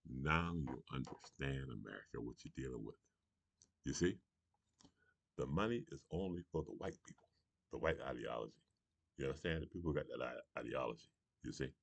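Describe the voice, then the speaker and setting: A man's voice: extremely low pitch, expressive, a little fast, vocal fry, slightly rough, no room sound. A man from Charleston, South Carolina, hosting a morning show with a rambling political monologue, often saying "you know" and laughing between points.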